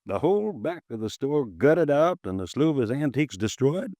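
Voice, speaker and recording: A man's voice: medium pitch, big pitch swings, normal speed, dark tone, dry recording, clean studio sound. An actor playing a stocky, red-faced insurance agent in his late fifties, chatty, folksy and easily riled, in a 1950s radio drama. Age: 50s. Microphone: close studio mic, dry.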